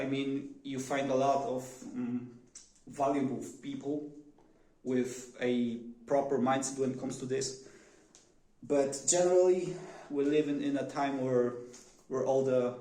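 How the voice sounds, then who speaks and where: A man with a Polish accent, talking off the cuff in a one-take vlog, pausing often with fillers and restarting his sentences. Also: mid-range voice, mellow, echoey room, rough recording. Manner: hesitant, many fillers and restarts. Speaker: vlogger. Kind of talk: unscripted one-take monologue. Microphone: phone.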